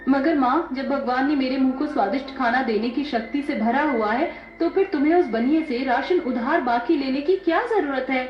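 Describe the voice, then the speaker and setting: A woman's voice: high-pitched, moderate pace, few pauses, close to an everyday voice. A woman playing an earnest, helpful young magical village girl in a children's story, speaking eagerly. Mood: questioning. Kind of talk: dramatized children's story dialogue. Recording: echoey, dull, music behind.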